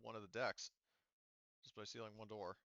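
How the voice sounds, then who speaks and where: A man working as game master, talking casually and wordily, thinking aloud and halting as he narrates a tabletop game. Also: lowish voice, flat delivery, sharp tone, dry recording, lo-fi sound.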